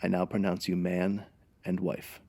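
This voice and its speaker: Deep, mumbling wedding minister, acted by a man. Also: completely flat delivery, unhurried, gravelly, heavy background noise. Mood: solemn. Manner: mumbling, ceremonial. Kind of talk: radio play acting.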